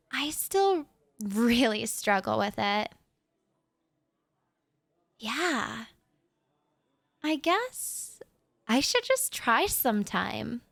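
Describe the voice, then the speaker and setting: A young woman in a shy, rambling audio roleplay monologue, talking softly straight to the listener with nervous little laughs. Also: fairly high pitch, dry recording.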